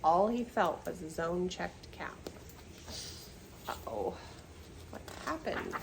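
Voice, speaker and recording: A woman's voice: fairly low pitch, sing-song, takes it slowly, vocal fry, a little hiss or hum. An older woman reading a children's picture book aloud expressively, with chatty asides and questions to young listeners.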